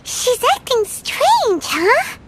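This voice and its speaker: A woman voicing a tiny, childlike, excitable fairy companion in a video game, with a squeaky voice. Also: extremely slow, slight background noise, full character voice. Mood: puzzled, chatty. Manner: refers to self in third person, exclamatory. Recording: music behind the voice. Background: game music.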